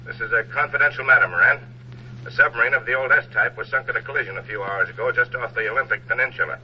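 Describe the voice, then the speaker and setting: An actor playing an authoritative Navy admiral, formal, urgent and commanding, in a 1940s radio drama. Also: male voice, mid-range voice, fast, lightly acted. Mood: urgent, official. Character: brisk, authoritative male Navy admiral giving orders. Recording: muffled 1940s radio recording, faint noise.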